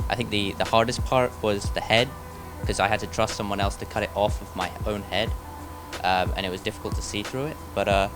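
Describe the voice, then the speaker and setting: Teen boy, relaxed, lowish voice, music behind. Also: fairly quick. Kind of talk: interview. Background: background music.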